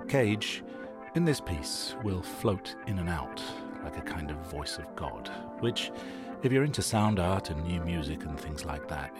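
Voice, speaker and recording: A man hosting a radio show, giving scripted narration to introduce an audio documentary. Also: low voice. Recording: music behind the voice. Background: music.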